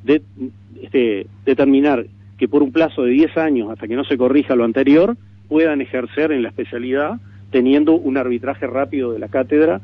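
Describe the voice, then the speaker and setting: A man with a Uruguayan accent explaining proposals in a radio interview, deliberate and repeating words for emphasis. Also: medium pitch, slight background noise. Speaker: political interviewee. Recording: narrow tinny AM radio stream.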